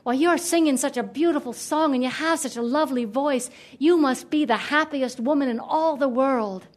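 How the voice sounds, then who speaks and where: A woman's voice: high-pitched, normal speed, voice pushed up, close to an everyday voice. A woman voicing a cheerful, kindly young man on a journey, in a friendly, emphatic manner. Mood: friendly, curious. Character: cheerful, kindly, eager young male traveler.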